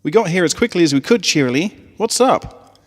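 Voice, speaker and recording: A man voicing a loyal tomboy female pony from a story, brisk, casual and earnest. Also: sits high, lively intonation, long reverb tail, voice pushed up.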